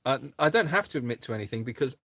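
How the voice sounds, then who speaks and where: Bright-voiced man telling a rambling story. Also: medium pitch, animated, very fast, no room sound. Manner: rambling anecdotal storytelling with restarts; chatty, thanks viewers by name. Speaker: sanctuary co-host. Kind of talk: casual livestream chat.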